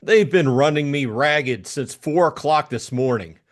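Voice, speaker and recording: A man's voice: on the high side, measured pace, close to an everyday voice. A man acting an irritable, blunt hard-boiled private detective in an audiobook drama. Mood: enraged. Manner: blunt, impatient.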